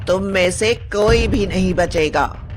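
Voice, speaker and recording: A woman's voice: medium pitch, slow, natural voice. A woman voicing a vengeful, menacing female cat witch in a horror storybook tale, with incantatory delivery. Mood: defiant, threatening. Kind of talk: dramatic horror storybook reading. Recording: music bed behind the voice.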